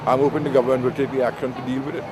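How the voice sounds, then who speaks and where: An older man from Trinidad with a Trinidadian accent, giving political commentary as an interview guest in a measured, deliberate way. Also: medium pitch, flat delivery, noisy background.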